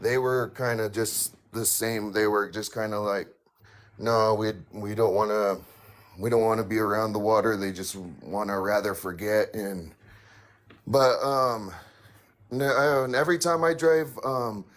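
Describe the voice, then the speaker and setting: A man calling in to tell a paranormal story, halting and full of fillers, with a raspy voice. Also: on the low side, steady tone, a bit bright. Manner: halting, many fillers and restarts. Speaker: caller sharing a paranormal story. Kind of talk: hesitant casual storytelling.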